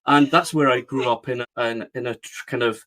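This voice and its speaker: Guest: earnest Scotsman, middle-aged, West of Scotland accent, mid-pitched, restarts mid-thought. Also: fairly even intonation, a little fast, a bit bright. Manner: earnest, repeats words as he restarts. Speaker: guest, publisher. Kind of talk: reflective storytelling interview.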